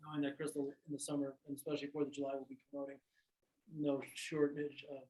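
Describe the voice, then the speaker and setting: A man's voice: mid-range voice, monotone, a little mellow. Jokey man speaking far from the mic. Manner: jokey, quick, self-deprecating. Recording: distant from the mic.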